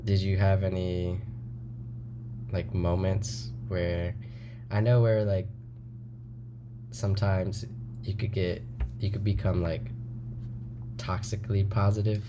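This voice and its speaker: Deep, slow-talking male podcast host. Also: little pitch movement, background noise. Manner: hesitant, halting, many fillers. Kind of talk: casual interview conversation.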